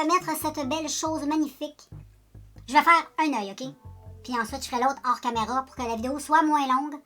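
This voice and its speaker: Chatty high bright Quebec woman. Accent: Quebec French. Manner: chatty, rambling, self-deprecating, talks directly to viewers. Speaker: beauty vlogger. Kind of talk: casual makeup tutorial chat.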